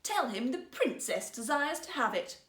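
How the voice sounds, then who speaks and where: A woman's voice: high voice, sing-song, moderate pace, fairly bright tone, echoey room. A woman voicing a spoilt, haughty young princess in an emphatic, imperious storybook manner. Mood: haughty, indignant.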